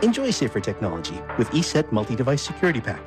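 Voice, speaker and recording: Male announcer, scripted ad read, animated intonation, guitar music bed. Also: medium pitch, fast. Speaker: advert announcer. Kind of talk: scripted commercial narration.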